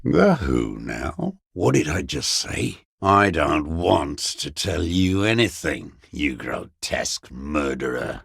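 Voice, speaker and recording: An actor playing a bitter old communist deserter in a video game, scornful and unrepentant, with a gravelly voice and a contemptuous, sneering manner. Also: male voice, extremely low pitch, sing-song, slow, hardly any pauses, a bit bright, no echo, clean studio sound, full character voice. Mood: cold, defiant. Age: older. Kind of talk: dramatic video game character dialogue.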